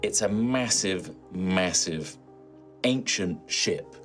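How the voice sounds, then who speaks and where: A man's voice: low voice, takes it slowly, a bit bright. A man narrating a tabletop roleplaying game as game master, conversational with theatrical emphasis when he describes scenes. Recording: background music under the voice.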